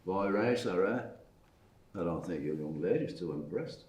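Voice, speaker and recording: A man's voice: deep voice, animated, unhurried, a little mellow. An actor playing a teasing, eccentric older English countryman in a live screenplay table read. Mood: wry, teasing. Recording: roomy live theatre stage.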